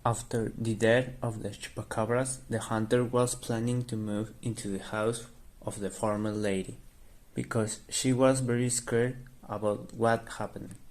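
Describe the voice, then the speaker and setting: A man's voice: on the low side, little pitch movement, unhurried, darkish tone. A man reading scripted story narration as the narrator of an amateur radio drama.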